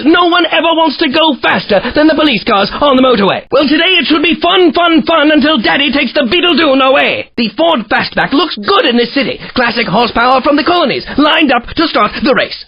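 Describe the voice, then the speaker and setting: An actor playing a witty British race announcer in a video game, commentating in a wry, theatrical, mock-grand way. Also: male voice, very high voice, animated, fairly quick, few pauses, dull audio. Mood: wry, upbeat.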